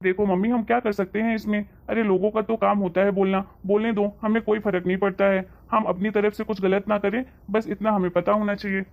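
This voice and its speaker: A woman plays a level-headed young grandson in a family skit. He speaks calmly and reasonably in a mid-range voice, trying to settle the quarrel. The recording sounds a little dull and lo-fi. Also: mid-range voice, a little fast, hardly any pauses, close to an everyday voice. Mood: reassuring. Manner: calm, reassuring, reasonable. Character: calm young grandson, peacemaker.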